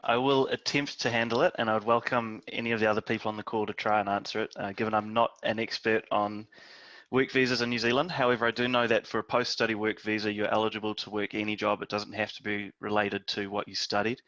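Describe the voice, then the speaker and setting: Male panelist, low and flat, hedging answers, muffled dry call audio. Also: fast, no room sound. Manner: modest, hedging, many ums. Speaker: webinar panelist. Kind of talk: hesitant explanation.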